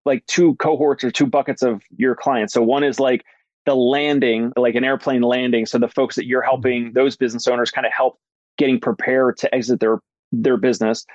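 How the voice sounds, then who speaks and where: A man's voice: medium pitch, level tone, moderate pace, darkish tone, dry recording. A man hosting a podcast, asking questions in a casual, rambling way, filling with "like" and restarting phrases. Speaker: podcast host. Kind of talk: casual interview chat. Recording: dry, dull muffled sound.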